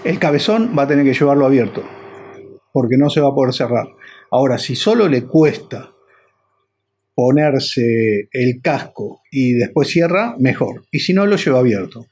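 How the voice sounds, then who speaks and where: A man's voice: medium pitch, expressive, moderate pace, a little mellow, breathy edge. A man with a Rioplatense Argentine accent, talking casually and rambling a little as he explains things as a motorcycle vlogger.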